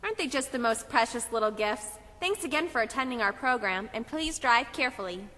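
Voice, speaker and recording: A woman's voice: a little high, lively intonation, fairly quick, sharp tone, big-room sound. A woman playing an effusive, prim children's teacher in a Christmas puppet show. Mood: effusive, bossy. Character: gushing, chatty female church children's teacher.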